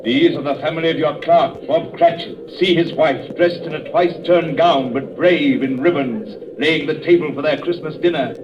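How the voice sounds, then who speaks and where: A man plays a solemn, commanding giant spirit in an old radio play. The voice is fairly high for a man and declamatory, somewhat distant in a noisy recording. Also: normal speed, hardly any pauses, lightly acted. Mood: stately. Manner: stately, declamatory. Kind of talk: 1930s radio acting. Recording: muffled, noisy, distant 1930s radio broadcast.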